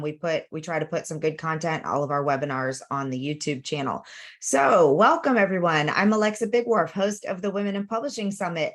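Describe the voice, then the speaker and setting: A woman hosting a live webinar over a video call, chatty and upbeat, with frequent fillers and restarts. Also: on the low side. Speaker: webinar host.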